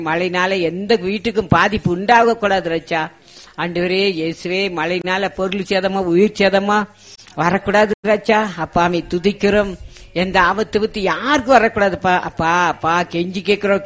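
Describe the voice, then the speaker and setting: High-pitched man pleading in fervent prayer. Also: fairly bright tone. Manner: pleading, repeats phrases urgently. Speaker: prayer leader.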